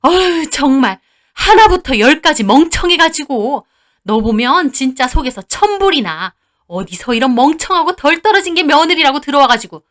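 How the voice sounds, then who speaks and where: A woman's voice: sits high, lively intonation, moderate pace, fairly bright tone, voice pushed up. A woman voicing a furious, contemptuous older mother-in-law in a dramatized story, scolding harshly. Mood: furious contempt. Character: furious, spiteful older mother-in-law berating her daughter-in-law.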